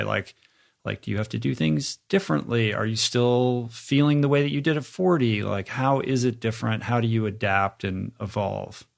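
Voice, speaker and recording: A man hosting a podcast interview, asking questions in a relaxed, curious way with lots of filler words. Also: medium pitch, creaky. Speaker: podcast host. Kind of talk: casual interview chat.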